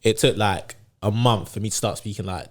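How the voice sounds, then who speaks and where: A man's voice: lowish voice, steady tone, a little fast. A young man, a podcast guest chatting casually and laughing often. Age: young adult. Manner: laid-back slangy talk, laughs often. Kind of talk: casual chat.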